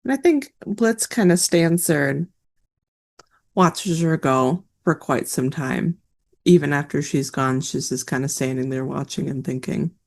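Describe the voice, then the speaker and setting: A player in a tabletop game, speaking in a soft, tentative way. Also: mid-range voice, unhurried. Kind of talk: casual chat between players.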